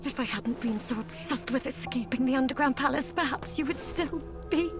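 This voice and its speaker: An actress playing a guilt-ridden, vengeful disciple in a video game, speaking in a dramatic, halting, anguished way. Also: female voice, high voice, over music. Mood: grieving, remorseful. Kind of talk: video game cutscene acting.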